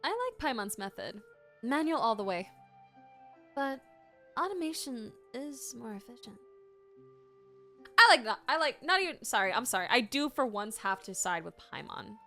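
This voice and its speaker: A young woman streamer giving casual, giggly, excitable commentary while playing a game. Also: on the high side, normal speed, long pauses. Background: game music.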